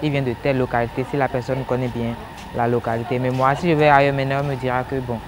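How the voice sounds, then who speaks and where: A man's voice: medium pitch, little pitch movement, fast. A man from Benin, answering a street interview and explaining his point with examples. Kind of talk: vox pop answer. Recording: outdoor street interview with traffic.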